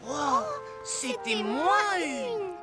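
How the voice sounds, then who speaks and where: Brief: inquisitive young cartoon child, excitable, high voice over music. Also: female voice, very slow, exaggerated voice. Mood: curious, excited. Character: curious, excitable young child talking to dad. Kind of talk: French-dubbed children's cartoon.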